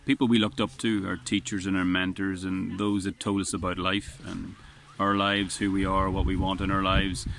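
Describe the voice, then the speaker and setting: A man's voice: low voice, flat delivery, moderate pace, vocal fry, hardly any pauses, fairly bright tone. A man with a strong voice sits outdoors on a beach and talks in an earnest, rambling way, often restarting his sentences. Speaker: vlogger. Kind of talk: casual spoken reflection. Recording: faint wind noise outdoors.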